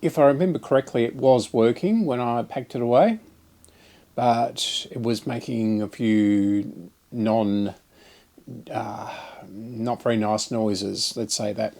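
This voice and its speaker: A man hosting an electronics channel, talking casually and haltingly through an update and demonstration. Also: mid-range voice, steady tone, gravelly, warm tone. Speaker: host of an electronics repair channel.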